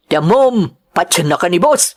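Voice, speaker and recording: A man playing a high-voiced arrogant bully. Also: exaggerated voice. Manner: taunting, threatening. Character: arrogant bullying male coworker. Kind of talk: audio drama acting.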